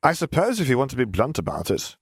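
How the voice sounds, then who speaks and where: A man's voice: medium pitch, wildly swooping pitch, fast, husky, no echo, clean studio sound, pitched above the performer's own voice, exaggerated voice. A man voicing a chatty, blunt, oddly morbid little girl in an audiobook. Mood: puzzled, blunt. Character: odd, matter-of-fact, blithely morbid little girl. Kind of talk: audiobook character voice.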